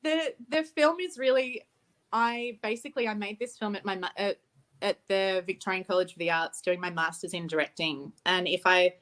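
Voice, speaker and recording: A woman's voice: medium pitch, moderate pace. A young woman from Australia, a film director talking about her work as an interview guest, reflective and laughing easily. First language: Ukrainian. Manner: warm, reflective, laughs easily, restarts phrases.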